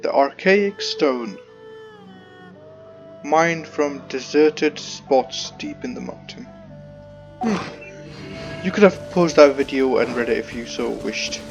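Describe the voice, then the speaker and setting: A man's voice: medium pitch, expressive, slow pace, long pauses, soft background music. A man giving casual gameplay commentary as a let's play host, with short, offhand remarks.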